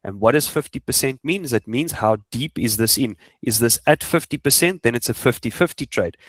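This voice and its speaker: A man's voice: medium pitch, expressive, a little fast, no room sound. A man with a South African Afrikaans accent, a native Afrikaans speaker, coaching informally and emphatically, repeating points and asking rhetorical questions. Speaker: trading coach. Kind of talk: informal instructional screen walkthrough.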